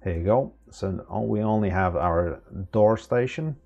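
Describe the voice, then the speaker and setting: A man in his thirties giving a relaxed step-by-step tech tutorial with lots of fillers, recorded at a desk indoors. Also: on the low side, measured pace, dull audio, soft background music. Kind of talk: casual screen-recorded tutorial. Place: small room indoors.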